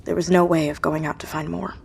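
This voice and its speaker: This is an isolated woman survivor in an audio drama. Her voice is on the low side, and she speaks earnestly and hesitantly, anxious to understand. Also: little pitch movement, quick pace, gravelly, a little hiss or hum. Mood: somber, halting.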